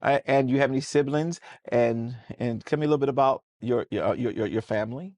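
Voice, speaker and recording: A man's voice: medium pitch, fairly quick, a little mellow, no room sound. A man with an American accent, a pastor hosting a casual church talk show, folksy and preacherly, often repeating words as he starts a sentence.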